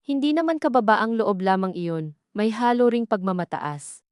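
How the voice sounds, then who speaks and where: Smooth-voiced woman narrating a story. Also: medium pitch, animated, moderate pace, darkish tone, no room sound, clean studio sound. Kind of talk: scripted narration of a drama story.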